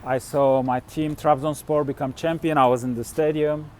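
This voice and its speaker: Rambling man, slightly high voice. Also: steady tone, a little hiss or hum. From Turkey. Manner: halting, full of "you know" and "like" fillers. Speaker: touring musician and vlogger. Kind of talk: casual chat.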